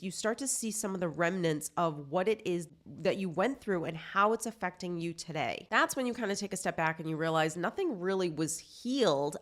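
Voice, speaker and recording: Congested woman, chatty self-help host. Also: lowish voice. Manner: chatty, earnest, lots of "like" fillers. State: congested, recovering from a cold. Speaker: life coach and podcast host. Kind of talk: casual self-help talk to camera.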